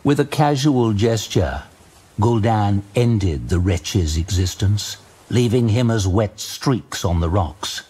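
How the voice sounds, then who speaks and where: Guy narrating a dark fantasy story, slow n serious, deepish voice, dramatic, music under it. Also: lively intonation, breathy edge. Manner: measured, grave storytelling.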